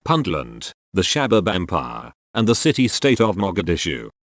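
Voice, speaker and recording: A man narrating a series of reader-submitted stories in a measured, even reading style. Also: low-pitched, slight background noise. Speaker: narrator reading online posts. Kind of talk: scripted narration.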